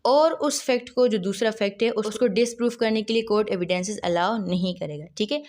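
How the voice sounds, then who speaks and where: A woman's voice: mid-range voice, a little fast, a little mellow. A woman with a Pakistani accent giving an explanatory law lecture, didactic, posing questions and answering them, repeating key phrases. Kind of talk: explanatory lecture mixing read-out provisions with explanation.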